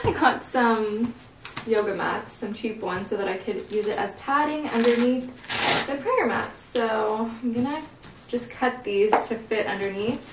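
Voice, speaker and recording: A woman's voice: fairly high pitch, noisy background. A woman in her twenties chatting casually and upbeat to her viewers from a room at home, recorded on a camera mic. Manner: chatty and upbeat, lots of "like" and "you guys". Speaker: lifestyle vlogger. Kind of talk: casual vlog chat to viewers. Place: indoors at home, bedroom and dressing room. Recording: roomy home echo.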